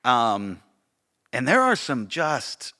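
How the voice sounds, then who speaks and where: A man with a strongly bright voice of middling pitch talks as a podcast co-host. His delivery is casual and enthusiastic, and he repeats phrases for emphasis. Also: wildly swooping pitch, slow pace. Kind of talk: casual conversational podcast chat.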